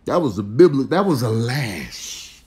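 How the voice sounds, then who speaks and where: A man's voice: mid-range voice, sing-song, measured pace, a little mellow. An American man giving comedic commentary on clips, exaggerating with slangy, incredulous delivery.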